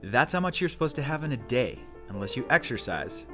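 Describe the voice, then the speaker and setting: A man's voice: mid-range voice, big pitch swings, fairly quick, music in the background, lightly acted. Condescending young boyfriend played by a man. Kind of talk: audio drama acting.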